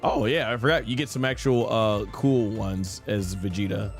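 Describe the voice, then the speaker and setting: A man's voice: on the low side, normal speed, faint music behind. A man chatting casually as a gaming streamer, thinking aloud to himself. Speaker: streamer and amateur voice actor.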